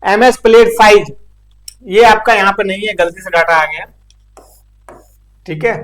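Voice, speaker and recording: A man's voice: high voice, a little slow, a bit bright, low-quality recording. A man giving an informal practical technical lecture, explaining step by step with frequent check-in tags. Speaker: instructor.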